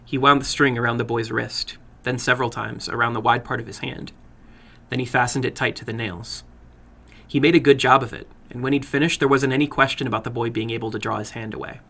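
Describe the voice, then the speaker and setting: A man narrating a short horror story dramatically, with a theatrical, deliberate delivery. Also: medium pitch, steady tone, vocal fry, sharp tone. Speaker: storyteller, horror fiction broadcast host.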